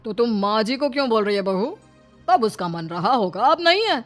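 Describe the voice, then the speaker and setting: A woman plays an older bullying patriarch in a cartoon family drama, scolding and menacing. The voice is fairly high, over music. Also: animated, moderate pace, lightly acted. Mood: stern. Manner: threatening, scolding. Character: harsh, bullying older father-in-law, patriarch of the house. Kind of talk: cartoon story dialogue.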